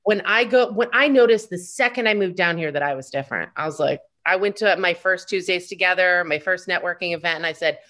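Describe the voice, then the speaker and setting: A woman's voice: on the low side, brisk, few pauses. A woman in her thirties speaking as a guest in a casual podcast chat, outspoken and telling stories with acted-out quotes. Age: 30s. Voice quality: loud voice. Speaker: interview guest, wedding business owner.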